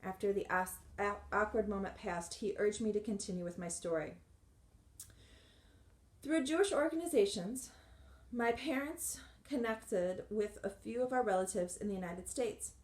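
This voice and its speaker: A woman reading a memoir aloud in a measured, earnest way, with occasional restarts. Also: mid-range voice, darkish tone, roomy sound. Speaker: audiobook reader.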